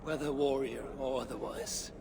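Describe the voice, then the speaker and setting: A man's voice: mid-range voice, fairly even intonation, normal speed, noisy background, natural voice. Man acting a proud, commanding Norse god. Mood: resolute. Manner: imperious, blunt, formal archaic phrasing. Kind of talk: dramatic video game voice acting.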